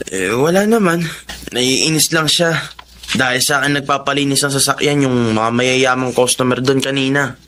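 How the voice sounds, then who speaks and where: A man's voice: mid-range voice, normal speed, a bit bright, slight background noise, natural voice. A man playing an earnest, dutiful teenage older brother with a pleading manner in a radio drama. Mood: sullen, uneasy. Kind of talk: Filipino radio drama acting.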